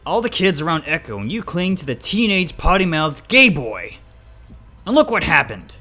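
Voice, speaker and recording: Man as a stern, forceful animal father. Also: on the low side, normal speed, faint music behind, natural voice. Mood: scolding. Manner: scolding, accusing, menacing. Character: stern, disgusted, pious anthropomorphic animal father.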